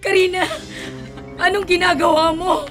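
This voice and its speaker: A woman's voice: very high-pitched, little pitch movement, measured pace. A fretful older grandmother. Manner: fretful, breathless worry. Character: anxious grandmother and mother. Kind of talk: radio drama acting. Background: dramatic music.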